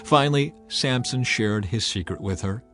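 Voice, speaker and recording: A man reading a dramatized Bible as narrator, with measured, storytelling delivery. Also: mid-range voice, fairly bright tone, soft background music. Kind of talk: dramatized scripture narration, scripted.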